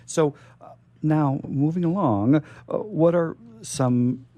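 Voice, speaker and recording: A man hosting a casual radio interview, speaking haltingly and repeating his phrases as he goes. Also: on the high side, mellow, muffled recording.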